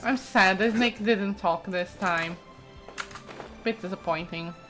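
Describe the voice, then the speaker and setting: A woman's voice: medium pitch, slow pace, music in the background. A woman doing casual let's play commentary, reacting to a game in a gushing, fannish way. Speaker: gaming let's-player.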